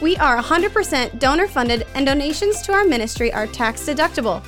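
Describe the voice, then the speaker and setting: A woman announcer reading a scripted closing message in a smooth, even way. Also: sits high, fairly quick. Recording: clear music bed under voice.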